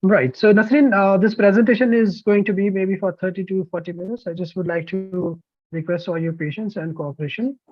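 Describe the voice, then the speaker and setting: A man's voice: sits high, fairly even intonation, brisk, no room sound, muffled recording. A man presenting a course over a video call, talkative, with many fillers and restarts. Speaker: course counselor presenting online. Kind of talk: online sales presentation.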